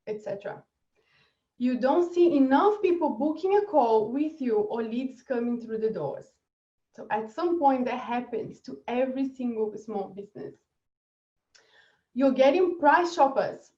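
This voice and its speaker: A woman's voice: a little high, distant mic. Brazilian-accented woman presenting with energy. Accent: Brazilian Portuguese. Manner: energetic, laughs often. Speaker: marketing presenter. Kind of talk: webinar presentation. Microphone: video call. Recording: echoey dull video call audio.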